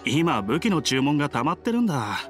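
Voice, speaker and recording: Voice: mid-range voice, sing-song, fairly quick, faint music behind, lightly acted. A performer playing a busy, polite young apprentice blacksmith boy in an anime game, speaking casually. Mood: apologetic. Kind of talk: Japanese anime game voice acting.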